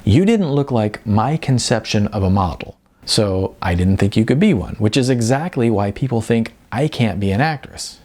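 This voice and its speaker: A man's voice: on the low side, lively intonation, normal speed, hardly any pauses, a little mellow. A man voicing an earnest, frustrated woman, an aspiring actress, in an audiobook. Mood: reflective. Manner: earnest, emphatic. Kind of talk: audiobook character dialogue.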